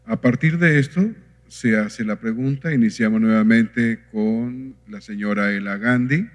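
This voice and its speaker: A man moderates a forum in a formal, unhurried way, pausing on hesitant fillers. His mid-range voice rings with a long hall reverb. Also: medium pitch. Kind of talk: moderating a panel. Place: conference hall.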